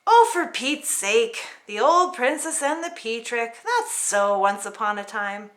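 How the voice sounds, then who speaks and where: A woman's voice: a little high, expressive, measured pace, a bit bright. A woman voicing a sarcastic, feisty young princess in a children's storybook, whiny and exasperated. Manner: exasperated, complaining, emphatic. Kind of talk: children's storybook read-aloud.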